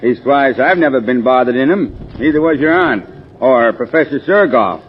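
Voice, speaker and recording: An actor playing an easygoing rural American hired driver in a 1940s radio drama, with folksy, hesitant country talk. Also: male voice, mid-range voice, animated, moderate pace, lightly acted. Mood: folksy, matter-of-fact. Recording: muffled, noisy 1940s radio recording.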